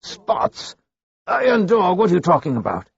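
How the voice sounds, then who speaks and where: A man acts out a huge thief in a storybook who speaks slowly and calmly, which makes his threats all the colder. The voice sits low and gravelly, with a raspy, breathy grain. The sound is dull and dry. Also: no room sound, heavily acted. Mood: stunned. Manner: slow, calm, threatening. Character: large calm menacing male thief.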